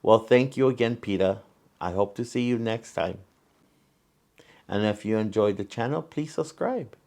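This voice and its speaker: A man's voice: fairly low pitch, normal speed, a little mellow. A man hosting a children's storytime vlog, thanking a guest and addressing his viewers.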